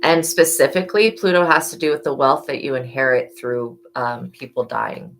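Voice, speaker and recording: This is a woman with a strikingly deep voice and a hoarse edge. She hosts a live-stream tarot reading, offering earnest, motherly reminders and turning preachy when she speaks of faith. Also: fairly even intonation, moderate pace. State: hoarse from yelling. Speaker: tarot reader.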